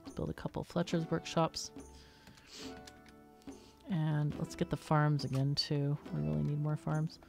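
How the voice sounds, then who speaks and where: A woman gives casual gameplay commentary in a low voice over light music. She thinks out loud and explains her choices as host. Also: flat delivery, unhurried, gravelly, long pauses. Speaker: gaming video host.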